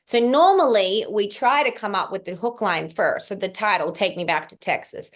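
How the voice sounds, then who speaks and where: A woman's voice: mid-range voice, animated, moderate pace. A young woman with an accent that mixes Australian and American, chatting at length as an interview guest, with frequent ums. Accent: mixed Australian and American. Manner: chatty, frequent ums, long run-on answers. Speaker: singer-songwriter guest. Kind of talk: casual interview chat.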